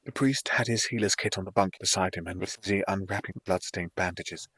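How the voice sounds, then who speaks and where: A man's voice: low voice, fairly quick, fairly bright tone, breathy edge, no room sound. A man reading a scripted first-person fantasy audiobook narration.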